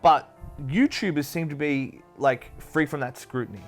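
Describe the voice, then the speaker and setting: An Australian man talks through gaming news as a show co-host. His voice is a little higher than average, and the delivery is conversational. Music plays faintly behind him. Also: moderate pace. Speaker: co-host of a video game show.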